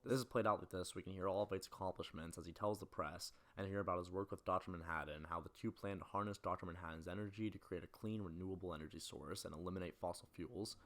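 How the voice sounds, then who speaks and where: A man's voice: deep voice, fairly even intonation, fast talker, hardly any pauses, a bit bright. A young man reading scripted narration as a video essayist, analyzing film.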